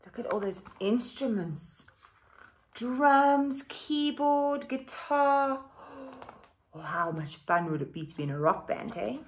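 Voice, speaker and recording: A woman's voice: fairly high pitch, takes it slowly. A middle-aged woman, a teacher, reading a children's story aloud expressively, with questioning asides to young pupils. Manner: expressive, exaggerated, with questions to listeners. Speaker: teacher reading to her class. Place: living room at home.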